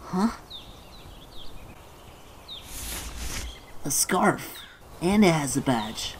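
A woman voicing a bewildered, irritable amnesiac young Pikachu in an animated comic dub, exasperated and sarcastic. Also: low voice, slow, slight background noise, exaggerated voice. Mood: confused, muttering.

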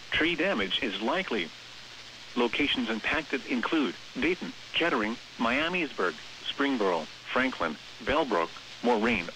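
A synthetic American male voice reading scripted emergency weather bulletins in a measured, impersonal manner over the radio. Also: mid-range voice, bright, forceful tone, poor audio. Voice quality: synthetic text-to-speech voice. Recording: hissy, staticky weather radio broadcast.

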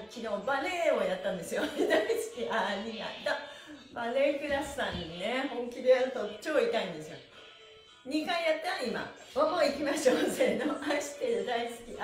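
Middle-aged female fitness instructor, high-pitched, encouraging, singsong counting, heard from across a reverberant room. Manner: cheerful, encouraging, rhythmic cueing. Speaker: stretching class instructor and pantomime teacher. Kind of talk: exercise instruction with chanted counts. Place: small bare indoor studio room. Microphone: camera a few meters away. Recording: echoey room, mic far off, faint music.

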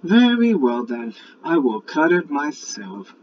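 A man's voice: a little high, very animated, slow, dull audio, noisy background, pitched above the performer's own voice. A man voicing a self-reliant female hen from a storybook, in an emphatic raised voice. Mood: determined.

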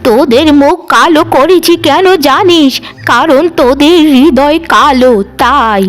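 A solemn old enchantress of the forest acted by a woman, with a high voice. She is a cartoon granny who is kindly to the good and stern to wrongdoers, and she speaks like one handing down spells. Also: measured pace. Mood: stern, angry.

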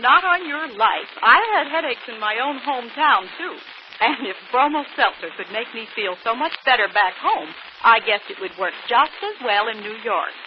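Woman acting chatty high-voiced small-town lady. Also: expressive, normal speed, lightly acted. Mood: enthusiastic, chatty. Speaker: actor in radio commercial. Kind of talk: 1940s radio acting. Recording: muffled, faintly noisy old radio broadcast.

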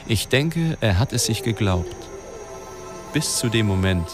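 An actor in a German radio drama playing a sceptical male reporter, measured and wry in dialogue and narration. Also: fairly low pitch, animated. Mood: wry, reflective. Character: probing, sceptical male reporter telling his own story. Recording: music behind the voice.

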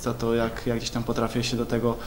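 A young man, a football player, answering questions at a press conference, halting with many repeated words and fillers. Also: mid-range voice, completely flat delivery, a little hiss or hum. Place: club press room, indoors. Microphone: table mics in front.

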